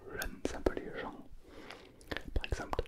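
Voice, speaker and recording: A man, giving a playful whispered ASMR lesson as a language teacher. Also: normal speed. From southern France. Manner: playful, joking asides.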